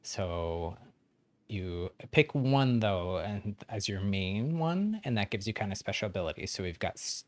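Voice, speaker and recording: A man's voice: fairly low pitch, lively intonation, unhurried, no echo. A man chatting casually on a game livestream, explaining things to newcomers in a friendly, encouraging way with frequent chuckles. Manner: chuckles often while talking. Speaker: game streamer.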